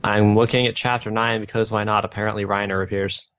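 A man's voice: on the low side, level tone, fast, fairly bright tone. A man reading fan fiction aloud, stumbling over odd words and breaking off with casual joking comments. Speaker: reader of fan fiction on a gaming video.

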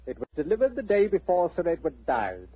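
An actor playing a formal, deferential English butler with a dry, faintly impudent manner, in 1940s radio style. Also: male voice, high voice, wildly swooping pitch, fairly quick, slightly rough, no echo, full character voice. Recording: muffled old radio recording.

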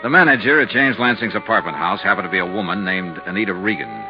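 An actor playing a hard-boiled, persistent insurance investigator in a 1950s radio drama, brisk, dry and insistent. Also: male voice, sits low, expressive, over loud music. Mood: dry narrating. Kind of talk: 1950s radio drama acting and first-person narration. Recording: noisy, lo-fi 1950s radio recording.

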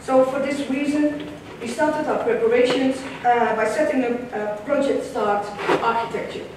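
Woman, Dutch accent, conference talk, halting with ums, distant from the mic, strong hall echo. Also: high voice, steady tone, noisy background. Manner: measured, with frequent ums and restarts. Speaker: conference presenter. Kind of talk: conference presentation. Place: large lecture hall with audience. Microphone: room camera far from the stage. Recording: echoey lecture hall from far off.

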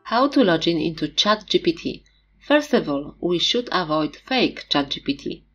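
Low-pitched woman explainer, English. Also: sing-song, normal speed, a bit bright, muffled recording. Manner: simple, slightly unidiomatic English in short phrases. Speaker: host of an educational video channel. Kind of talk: scripted explainer narration. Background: background music.

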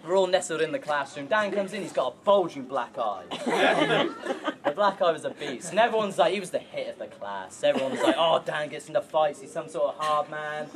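A man's voice: on the high side, lively intonation, brisk. Young man giving a joking best man speech. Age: 20s. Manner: joking, storytelling, chuckling through lines. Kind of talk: wedding speech. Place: outdoor pub garden at a wedding. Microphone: far camera. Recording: crowd laughter and loud noise from a far camera. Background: laughing wedding crowd.

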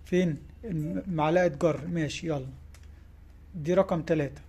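A man's voice: a little high, fairly even intonation, moderate pace, dark tone, breathy edge. A man with an Egyptian Arabic accent, a fishing tackle shop owner, casually presenting giveaway prizes in his shop and coaxing children along, often checking in with "tamam?".

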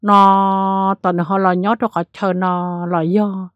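Female storyteller, mid pitch, animated delivery, dry close recording. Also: takes it slowly, no echo, highs cut off. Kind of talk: spoken ghost story narration.

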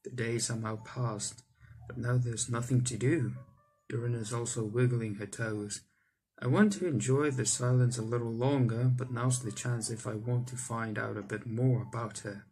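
A man's voice: mid-range voice, steady tone, moderate pace, a little mellow, slight background noise, rough recording. A young man, a gaming YouTuber, reading visual novel text aloud and adding his own asides. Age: young adult. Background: game music.

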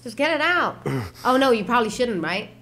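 A woman's voice: on the high side, lively intonation, a little fast, fairly bright tone. A young woman giving casual, sarcastic reaction chat while co-hosting a video game playthrough. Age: young adult. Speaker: gaming video co-host. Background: game soundtrack music.

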